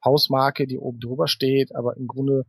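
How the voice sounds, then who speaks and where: A man's voice: mid-range voice, level tone, normal speed, husky, dry recording, studio quality. A man answering questions conversationally as an author being interviewed. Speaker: author interview guest, writer of novels and audio dramas.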